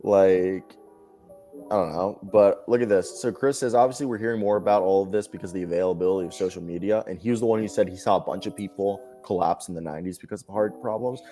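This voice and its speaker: A young man with a fairly low voice, co-hosting a live basketball show in loud, animated, casual chat. He laughs often as he talks. Faint music plays underneath. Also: fast talker.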